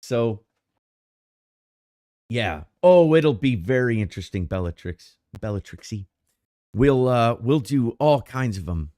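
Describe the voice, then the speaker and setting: A man's voice: medium pitch, a little slow, long pauses, no echo, studio quality. A middle-aged man co-hosting a podcast, chatting casually in a rambling way with many restarts. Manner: rambling, animated, frequent restarts. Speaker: podcast co-host. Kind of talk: casual chat.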